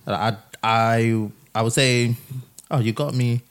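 A young man hosting a casual family podcast, teasing and laughing easily, often trailing off quietly. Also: mid-range voice, fairly even intonation, takes it slowly, a little hiss or hum. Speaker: podcast host.

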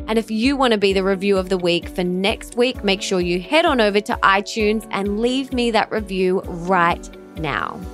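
A woman's voice: mid-range voice, a bit bright. Woman podcast host, gushing and upbeat, mid-range voice, faint music underneath. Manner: gushing, enthusiastic.

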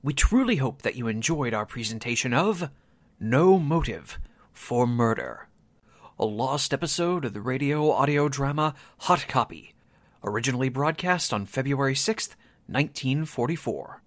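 A man reading scripted announcements for a radio theatre show in a formal, measured manner. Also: mid-range voice, animated, dry recording.

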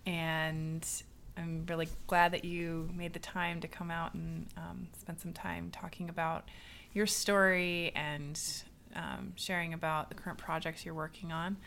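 A woman's voice: fairly low pitch, level tone, unhurried, creaky, fairly bright tone, a little hiss or hum. A woman hosting a podcast, asking questions in a casual, encouraging way with some fillers. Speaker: podcast host. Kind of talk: casual interview talk.

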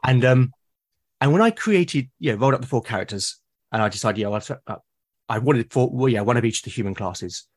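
A man from Britain, a podcast guest, talking eagerly about his characters, with lots of restarts and a slightly husky voice. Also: mid-range voice, animated, fairly quick. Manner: enthusiastic, rambling with many restarts. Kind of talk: casual podcast conversation.

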